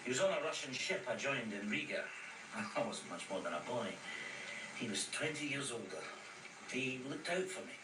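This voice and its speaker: An actor playing a gentle, loyal, sentimental sailor in a radio drama. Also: male voice, mid-range voice, big pitch swings, normal speed, lightly acted. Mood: wistful. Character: gentle, loyal sailor and cook, sentimental about his cat. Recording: roomy, distant, noisy recording.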